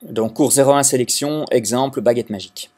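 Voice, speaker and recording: Husky Belgian man teaching a class. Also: medium pitch, brisk, a little mellow. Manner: hesitant, restarts often, explanatory. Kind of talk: recorded classroom lecture.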